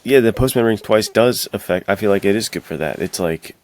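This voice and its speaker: A man's voice: fairly low pitch, a little fast, darkish tone. A man co-hosting a podcast, discussing films in an informal, slangy, conversational way. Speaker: podcast co-host. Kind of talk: casual film discussion.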